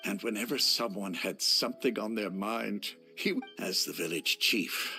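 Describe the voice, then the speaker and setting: A man playing a gentle, grateful elderly village chief in a video game, with an aged, husky voice and courteous phrasing. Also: on the low side, measured pace, exaggerated voice. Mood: wistful, fond. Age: older. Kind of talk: anime-style video game voice acting. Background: faint game music.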